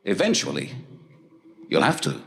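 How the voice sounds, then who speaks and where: An actor playing a controlled military commander in a science fiction series, formal, measured and to the point. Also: male voice, lowish voice, huge pitch swings, gravelly, fairly bright tone, heavily acted. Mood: coolly insistent.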